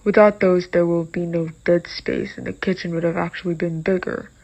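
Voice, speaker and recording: A composed male expert acted by a woman talks through his suspicions in a horror drama. The speech is deliberate and matter-of-fact, with an even, restrained melody. He sounds analytical and sure of his reasoning. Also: on the low side, rough recording. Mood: confident, analytical. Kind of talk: scripted phone-call dialogue in horror drama.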